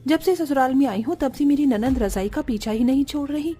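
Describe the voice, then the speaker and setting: A woman's voice: sits high, fairly quick, mellow, lightly acted. A woman voicing a long-suffering young daughter-in-law in a cartoon, plaintive and polite. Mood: brooding. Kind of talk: Hindi cartoon dialogue acting.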